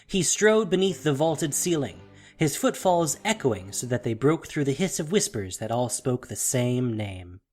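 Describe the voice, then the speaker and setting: Dramatic fantasy audio drama narrator, animated intonation, scripted storytelling, soft music underneath. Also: mid-range voice, measured pace.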